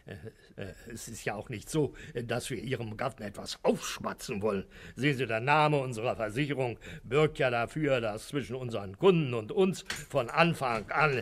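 Level-headed insurance man played by an actor, medium pitch. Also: moderate pace, hardly any pauses, lightly acted. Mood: polite, earnest. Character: polite, sensible insurance salesman, level-headed. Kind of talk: 1960s radio play acting.

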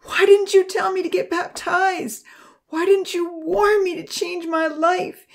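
A woman's voice: extremely high pitch, fairly even intonation, a little slow, vocal fry, voice pushed up, heavily acted. A woman voicing a distraught young woman in a dramatised audiobook, sobbing bitter, anguished accusations. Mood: bitter despair.

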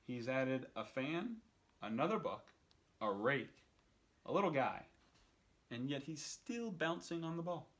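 A man's voice: medium pitch, big pitch swings, unhurried, long pauses, fairly bright tone. A man in his thirties reading a picture book aloud expressively for children, with casual asides. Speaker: story time reader. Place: indoors on a couch.

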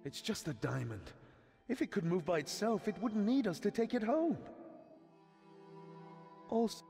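Excitable young desert adventurer from a video game, dramatic, really animated voice, music behind. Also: male voice, high voice, a little slow, gravelly, exaggerated voice. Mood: pained, relieved. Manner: eager, dramatic, expressive. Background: background music.

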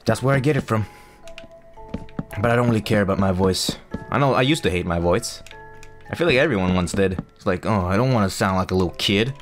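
A man's voice: lowish voice, a little fast, soft background music. A young man, not a native English speaker, chatting loosely over his gameplay with lots of fillers and restarts. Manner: rambling, self-mocking, full of fillers and restarts. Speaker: gaming YouTuber. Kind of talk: casual gameplay commentary.

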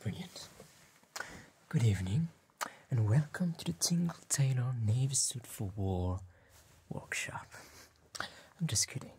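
A man, playing a polite, attentive tailor in a gentle, whispered and soft-spoken ASMR roleplay, with hesitant pauses. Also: medium pitch, very animated, slight background noise. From France. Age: 20s. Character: polite, attentive bespoke tailor serving a client. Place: small dim tailor's workshop set, indoors.

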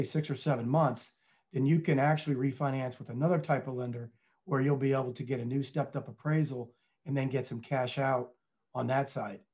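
A man's voice: medium pitch, a little mellow. Dull-sounding man in his fifties, conversational. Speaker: show panelist, lender. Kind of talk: casual business discussion. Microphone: headset on video call. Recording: dull, lo-fi video call audio.

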